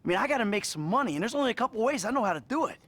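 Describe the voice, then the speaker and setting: Troubled middle-aged criminal, American, gravelly, swooping pitch, hesitant, sardonic, self-loathing, naturalistic game dialogue. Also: male voice, animated, rapid-fire, a bit bright, exaggerated voice. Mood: agitated, bitter. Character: troubled middle-aged male criminal confessing to his therapist. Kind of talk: naturalistic video game acting.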